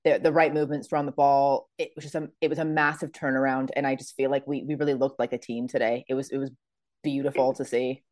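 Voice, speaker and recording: A woman hosting a casual sports podcast, her voice hoarse and raspy from screaming, chatty with many fillers and laughs. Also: a bass voice, level tone, fast talker, darkish tone, no echo. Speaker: podcast host. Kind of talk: casual chat.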